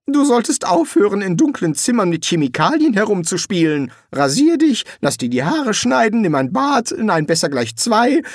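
A narrator voicing a nervous male wizard who bluffs as a demon, sarcastic and hesitant, with a strained high voice full of ums. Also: sing-song, moderate pace, hardly any pauses, no room sound, studio quality. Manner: sarcastic, evasive, pleading. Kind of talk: audiobook reading.

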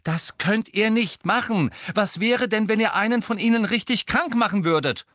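A man's voice: mid-range voice, very animated, moderate pace, pushed and bright, dry recording, studio quality. A man voicing a strong-willed teenage schoolgirl in an audiobook story, bossy and indignant, speaking in an emphatic, scolding way.

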